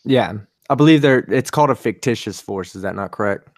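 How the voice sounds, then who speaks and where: A man's voice: mid-range voice, fairly quick, dry recording. Man reasoning aloud, dry sound. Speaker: call guest. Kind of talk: casual online voice chat.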